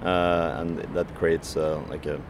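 A man's voice: low voice, little pitch movement, measured pace, creaky, background noise. A man who works as a food courier, answering interview questions hesitantly with many fillers and restarts.

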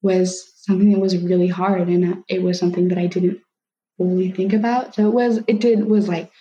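Young woman guest, low, mellow, hesitant, muffled call audio. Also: level tone, brisk, a little hiss or hum. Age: young adult. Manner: soft-spoken, hesitant, many likes. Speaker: guest, daughter of an adoptee. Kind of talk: reflective conversation.

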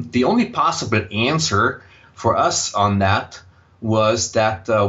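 A man's voice: on the low side, fairly bright tone. Austrian-accented man, older, slightly dull audio. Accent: Austrian German. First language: German. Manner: measured, thoughtful, frequent fillers. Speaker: guest, impact investor. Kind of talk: interview answers.

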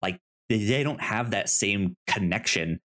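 A man co-hosting a casual film discussion, speaking haltingly and often stammering over the starts of words. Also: on the low side, animated, sharp tone. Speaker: podcast co-host.

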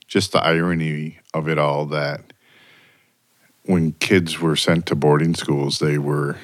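A man's voice: rumbling low, flat delivery, takes it slowly, dry recording. A man narrating a documentary, then reflecting in a casual way.

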